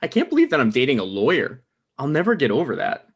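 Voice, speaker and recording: A man's voice: mid-range voice, huge pitch swings, quick pace, slightly rough, full character voice. A man playing a neurotic, self-absorbed playwright, conversational and rambling, in a comedy table read. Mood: casual, apologetic.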